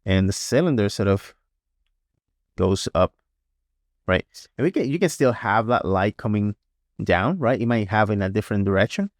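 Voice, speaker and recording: A man teaching a digital painting paintover, sounding congested and a little hoarse, talking casually with frequent "right?" checks and small restarts. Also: on the low side, lively intonation, normal speed, pauses often, dry recording, studio quality. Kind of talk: tutorial voice-over.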